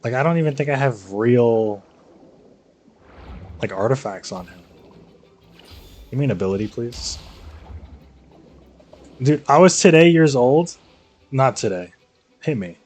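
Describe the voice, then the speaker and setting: A man's voice: medium pitch, lively intonation, measured pace, long pauses, darkish tone. A man giving casual, slangy, sweary live commentary as a game streamer. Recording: game music behind the voice. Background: video game music.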